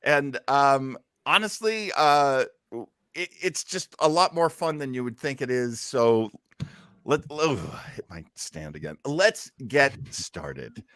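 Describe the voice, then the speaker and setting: Casting: male host of a live chat, jokey, sharp bright voice, very close and dry. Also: mid-range voice, slow, no room sound. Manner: jokey, animated. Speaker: host of an online listening party. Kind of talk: casual live chat hosting.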